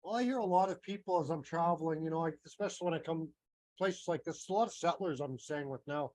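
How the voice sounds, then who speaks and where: A man's voice: a little high, fast talker, a little mellow. A man with a Canadian accent giving a casual, meandering talk, thinking aloud with many fillers and restarts. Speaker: astrology teacher.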